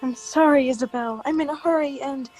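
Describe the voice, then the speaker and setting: A woman's voice: high-pitched, a little fast, a little mellow, faint music behind, close to an everyday voice. A woman playing a nervous, polite, evasive character who hides her dread in an old-time radio drama. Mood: evasive, uneasy. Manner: hesitant, uneasy, polite.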